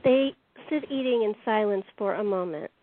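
A woman's voice: medium pitch, moderate pace. A woman reading stage directions as the scripted narrator of a radio play. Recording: tinny phone-like sound with faint noise.

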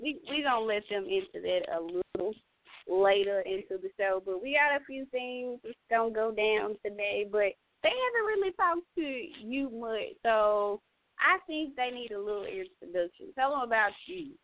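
A woman radio host talks in a relaxed, slangy way, leaning on fillers as she thinks aloud. Her voice sits a touch high and dry. It comes through a thin phone-like line. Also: moderate pace, dry recording. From United States. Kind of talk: casual radio talk. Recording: tinny phone-line sound.

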